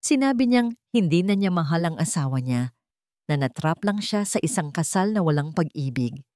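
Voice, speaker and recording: A woman with a Filipino accent voicing a grieving, betrayed young woman in a story, halting and confessional. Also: low-pitched, expressive, darkish tone, no room sound, clean studio sound. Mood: regretful, hurt.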